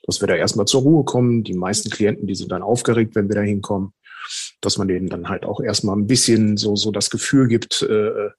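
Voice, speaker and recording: A man's voice: fairly low pitch, brisk, breathy edge. A man being interviewed as a ghost hunter, explaining his work conversationally and feeling for his words as he goes.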